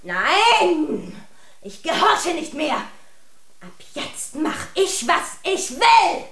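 Casting: defiant young chambermaid for radio play, extremely high piercing voice, swooping and hysterical. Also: female voice, very animated, drawn-out words, fairly bright tone, full character voice. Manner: shrill, defiant, overwrought. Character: rebellious, hysterical young chambermaid named Jacqueline. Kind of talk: absurdist radio drama acting.

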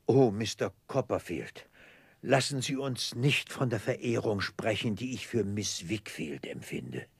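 An actor playing a pompous, theatrical middle-aged debtor clerk in despair, declaiming in florid oratory in a 1960s radio play. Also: male voice, fairly low pitch, lively intonation, unhurried, gravelly, breathy edge, no echo, exaggerated voice. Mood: agitated despair.

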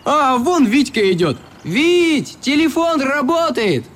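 A man's voice: extremely high pitch, slow pace, heavily acted. A man playing a good-natured, plain-spoken young boatman in a radio play. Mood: friendly, helpful. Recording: light steady background noise.

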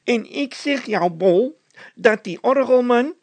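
A man's voice: very high voice, expressive, slow pace, dry recording, voice pushed up, full character voice. A man voicing a simple, cheerful village labourer who grumbles and exclaims naively in a storybook reading. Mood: stubborn.

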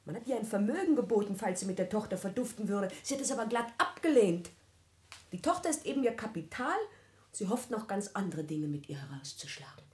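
A woman's voice: medium pitch, big pitch swings, fairly quick, a little mellow, close to an everyday voice. An actress playing a venomous, haughty upper-class woman in a classic radio play, speaking with cutting, precise emphasis. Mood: scornful, indignant.

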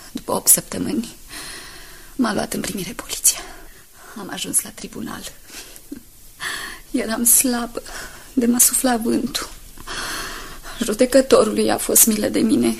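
An actress in a Romanian radio drama playing a frail, anguished young woman who confesses her past haltingly and intimately. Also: fairly high pitch, steady tone, full character voice. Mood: sorrowful, hushed. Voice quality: husky, breathy. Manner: halting, pained, intimate storytelling.

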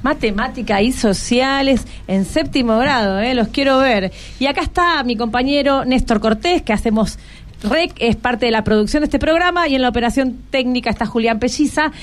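A woman's voice: fairly high pitch, moderate pace. A woman with a Buenos Aires accent presenting and interviewing live on radio, conversational and often ending on tag questions. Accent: Buenos Aires Argentine. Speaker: radio host. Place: radio studio. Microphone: studio microphone.

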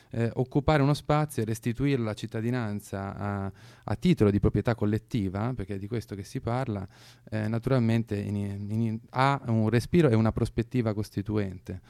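Male radio talker, low gravelly voice, thoughtful and halting, political explainer. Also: warm tone. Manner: hesitant, searching, intellectual. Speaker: radio show speaker.

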